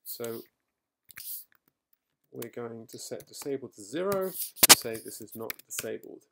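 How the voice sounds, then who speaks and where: A middle-aged man recorded at home, talking through a software tutorial as he types, with fillers and quick self-corrections. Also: mid-range voice, expressive, vocal fry, very noisy. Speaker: software trainer. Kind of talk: screencast coding tutorial. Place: quiet home room.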